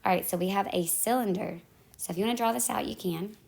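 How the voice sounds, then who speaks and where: Hoarse, gravelly woman teaching math. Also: medium pitch, fast, a little mellow. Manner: talks through calculator steps, self-correcting. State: losing her voice. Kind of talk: step-by-step math tutorial. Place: at home in the evening.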